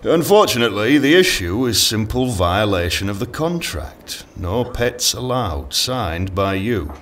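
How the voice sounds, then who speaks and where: An actor playing a stern, patient official, measured, formal and insistent. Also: male voice, lowish voice, sing-song, a bit bright, a little hiss or hum.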